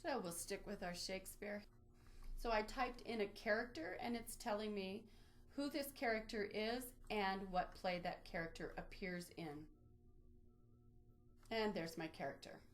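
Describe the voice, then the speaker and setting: Woman doing a webinar walkthrough, mid pitched voice, explains stuff step by step like a tutorial. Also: a little slow. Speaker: webinar presenter from a library. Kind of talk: instructional screen-share walkthrough.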